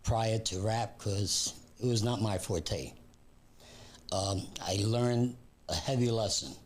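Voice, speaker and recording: A man's voice: fairly low pitch, slow, slight background noise. A man in his seventies, born in Puerto Rico and raised in New York, speaking as a guest in a casual interview in an easygoing, self-deprecating way. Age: 70s. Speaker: guest, business founder.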